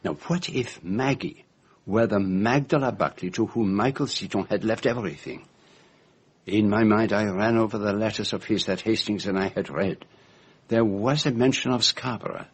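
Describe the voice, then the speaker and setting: An actor playing a fussy, shrewd foreign gentleman detective, speaking in precise, formal phrases in a radio drama. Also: lowish voice, normal speed, husky. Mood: dramatic deduction. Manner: precise, theatrical, formal phrasing with French forms of address.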